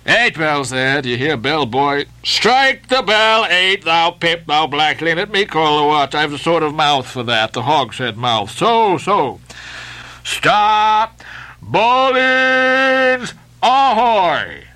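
A man voicing a bossy male sailor who shouts orders to wake the night watch. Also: on the high side, very animated, slow, pitched above the performer's own voice, exaggerated voice. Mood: bellowing. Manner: bellowing orders.

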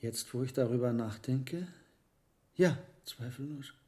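An actor playing a learned, hesitant medieval monk herbalist in a radio drama, speaking in a deliberate, musing way. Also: male voice, mid-range voice, mellow, close to an everyday voice. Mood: pensive, doubtful. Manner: deliberate, musing, with reflective pauses. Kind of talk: German radio drama acting.

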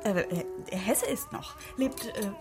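An actress playing a fawning, agreeable book-club member who gushes approval, in a German radio drama. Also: female voice, fairly high pitch, animated, takes it slowly, over music. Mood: flustered. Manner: affirming, gushing.

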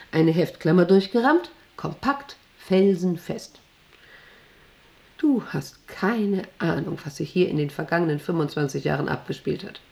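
A middle-aged woman who runs a factory and is very proud of it. Her voice is low-set with a gravelly creak. She rambles in a condescending radio-drama monologue, checking that she is being followed. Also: dark tone. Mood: proud, lecturing. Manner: self-important, condescending, rambling, often seeking agreement. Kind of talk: radio play monologue to an employee.

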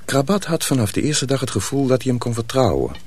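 Male story narrator: dramatic, suspenseful, medium pitch with a lively range. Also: breathy edge. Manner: deliberate, suspenseful.

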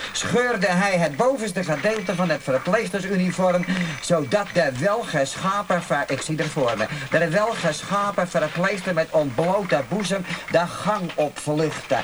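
An actor playing a crude, excitable man who reads a lurid newspaper aloud with muttered asides, in a noisy old radio play recording. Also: on the high side. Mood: lewd, excited. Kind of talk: Dutch radio comedy acting.

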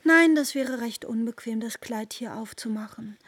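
An actress playing a polite, reserved and evasive young lover in a literary radio drama, with a husky, breathy voice. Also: female voice, on the high side, moderate pace, dry recording.

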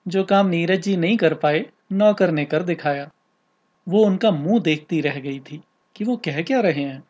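Man, slightly high pitch, husky, scripted story narration, dull recording. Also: a little mellow. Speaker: narrator and podcast host. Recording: slightly dull, lo-fi podcast audio.

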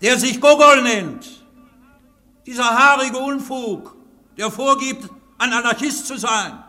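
An actor playing a commanding, mocking anarchist council president in a radio play. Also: male voice, slow, sharp tone, in a large hall, heavily acted. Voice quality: imperious, scornful, booming.

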